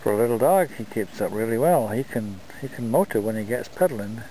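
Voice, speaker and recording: A man narrating his dog scooter run outdoors in the desert in a relaxed, unhurried way. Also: mid-range voice, slight background noise, rough recording. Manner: relaxed, unhurried talk with fillers. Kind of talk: casual vlog narration.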